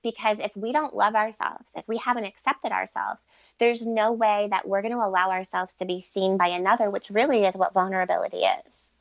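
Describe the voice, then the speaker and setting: A woman's voice: medium pitch, fairly even intonation, a little fast, gravelly, no echo, muffled recording. A woman giving earnest, fluent explanations as a relationship expert being interviewed, speaking conversationally at length. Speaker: guest relationship expert and author.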